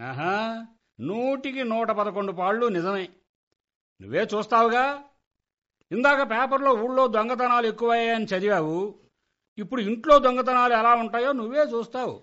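A middle-aged husband in a radio comedy, high and sharp in voice. He grumbles, argues and nags in exasperation. The recording is dull and lo-fi. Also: expressive, fairly quick, long pauses, full character voice. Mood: sarcastic warning. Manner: nagging, argumentative. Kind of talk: Telugu radio comedy play acting.

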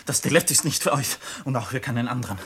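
A man's voice: medium pitch, animated, quick pace, fairly bright tone, close to an everyday voice. An actor playing a desperate, hunted young man in a 1950s German radio drama, intense and pleading. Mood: grim, desperate. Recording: old radio recording, faint noise.

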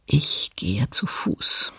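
An actor playing a watchful wartime city chronicler, giving hushed, detached eyewitness notes in a documentary radio play. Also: male voice, very slow, dry recording, in a whisper. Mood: detached, observant.

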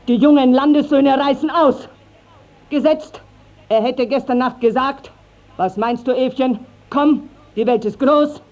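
A woman's voice: sits high, a little slow, a little mellow. Indignant, scolding village mother, muffled recording. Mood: accusing. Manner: declamatory, righteous. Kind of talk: classical German stage-style radio acting. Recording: dull 1950s radio drama recording.

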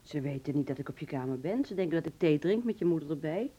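A woman's voice: sits low, lively intonation, fast, dark tone, breathy edge, highs cut off. An actress playing a blunt, feisty teenage girl in a Dutch radio play, questioning and easily indignant. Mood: wary, surprised.

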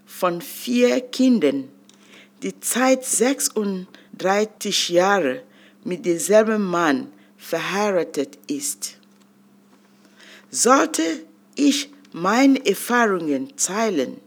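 An older woman from Cameroon, whose first language is not German, reading her own memoir aloud in a deliberate way with long pauses. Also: mid-range voice. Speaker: autobiographical reader.

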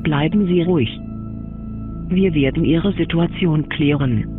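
Actor as soothing detached male helpline operator, slow formulaic phrases, narrow muffled band, guitar music behind, radio drama. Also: a little high, close to an everyday voice. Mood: calm, detached.

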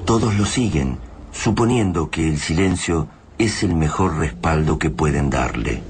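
A man's voice: extremely low pitch, unhurried, slight background noise. An Argentine man narrating a scripted radio drama in a grave, literary manner.

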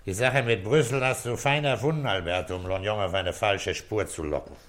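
An actor playing a calm, weary, shrewd senior police inspector in an old German radio crime drama, speaking with deliberate, paternal authority. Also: male voice, on the low side, close to an everyday voice. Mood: dry, matter-of-fact. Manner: deliberate, authoritative, explaining step by step.

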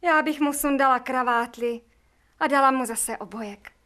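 Gossipy young maid, eager and cheeky, fairly high voice. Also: female voice, a bit bright. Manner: eager, gossipy, quick to laugh. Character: chatty young female housemaid, lively and cheeky. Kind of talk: radio drama acting.

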